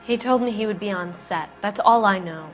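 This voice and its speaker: An actress playing a shaken, evasive wife of a movie producer who is being questioned by police, speaking softly and defensively over faint music. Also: female voice, mid-range voice, moderate pace, lightly acted. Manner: soft-spoken, flustered and defensive. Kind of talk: 1940s-style game cutscene acting.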